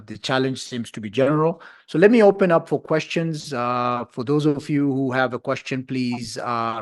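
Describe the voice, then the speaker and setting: A man with a mid-range voice moderates a corporate conference call. His delivery is polite and procedural, in the manner of a host managing the floor. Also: medium pitch.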